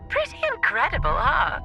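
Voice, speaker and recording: Smug playful magician, highish voice, swooping intonation, teasing, theatrical, music behind, game acting. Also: female voice, creaky, bright, forceful tone, exaggerated voice, poor audio. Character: playful, theatrical, self-satisfied woman magician and author. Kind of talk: video game voice acting, recorded message.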